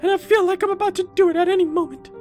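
A let's player voicing an anxious, gentle young woman from a game, earnest, polite and nervous. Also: very high voice, steady tone, rapid-fire, soft background music. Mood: tearful, earnest.

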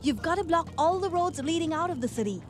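A woman's voice: very high voice, lively intonation, quick pace, faint music behind. A woman voicing a clever, confident young boy in a cartoon, eager and decisive. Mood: excited, commanding. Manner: eager, decisive, explaining plans. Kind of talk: animated cartoon dub acting.

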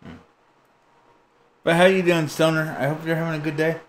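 A man's voice: fairly high pitch, flat delivery, normal speed, slight background noise. Crude joking man streaming a game. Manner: joking, crude, rambling banter. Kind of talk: casual gaming chat.

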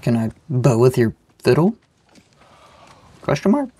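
A man's voice: mid-range voice, very animated, measured pace, mellow, breathy edge. A young man with a sick-sounding voice giving rambling, joking let's-play commentary. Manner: rambling, joking, self-deprecating. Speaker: gaming channel host.

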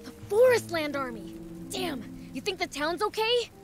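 A woman's voice: very high-pitched, big pitch swings, unhurried, faint music behind, full character voice. A woman voicing a cocky, impatient young boy demon prince in a video game, brash and scrappy. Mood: excited, brash. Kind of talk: animated video game cutscene acting.

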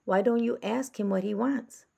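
Woman reading as patient father badger, lifted pitch. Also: moderate pace, creaky, warm tone, husky, heavily acted. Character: calm, reassuring father badger. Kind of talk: children's storybook character voice.

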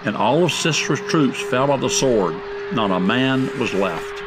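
A man narrating a scripted Bible story drama in a measured, solemn way. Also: mid-range voice, expressive, background noise.

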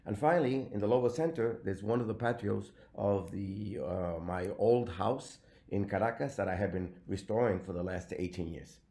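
A man, giving a recorded slide lecture in a measured way with frequent fillers. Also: lowish voice, dark tone. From Venezuela.

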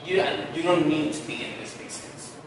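A young man as an actor guest, chatting casually and loosely with plenty of fillers. He sounds far from the mic in a boomy studio, with a long reverb tail and noticeable background hiss. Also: fairly quick. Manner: relaxed storytelling with many fillers. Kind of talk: casual interview chat. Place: podcast studio with graffiti wall. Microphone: headset mic seen, far camera. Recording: echoey room, distant, noisy.

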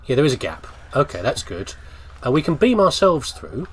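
A man's voice: medium pitch, wildly swooping pitch, moderate pace, breathy edge. A man giving casual gameplay commentary, rambling and thinking aloud as he talks to himself. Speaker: gamer commentating on playthrough.

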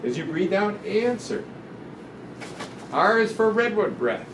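An elderly man reads a picture book to children with gentle, deliberate instruction. His voice sits slightly high for a man. He is picked up from a distance in a roomy space, with a clear ventilation hum behind him. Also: very animated. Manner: gentle, deliberate, instructive, pausing between phrases. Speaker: guest doctor reading a picture book aloud. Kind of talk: children's storytime read-aloud with guided breathing instructions. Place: indoor room in front of a sequined curtain.

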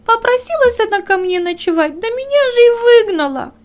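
A woman's voice: extremely high pitch, lively intonation, normal speed, voice pushed up. A woman voicing a small, pitiful young male hare in a plaintive, whimpering storybook voice. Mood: plaintive, tearful.

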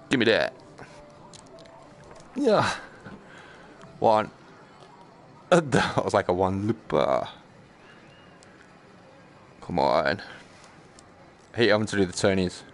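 A man's voice: on the low side, big pitch swings, very slow, pauses often. A man chatting casually on a live game stream, joking in a slangy, sweary way. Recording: clear background noise.

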